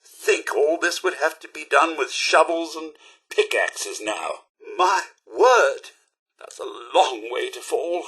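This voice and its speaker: An actor playing a polite, fussy, wistful robot butler in a video game, with a British accent and a genteel, theatrical manner. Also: male voice, fairly high pitch, expressive, slow, fairly bright tone, slightly rough, heavily acted. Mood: chipper, fussy. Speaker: companion character in a video game. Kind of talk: scripted game voice lines.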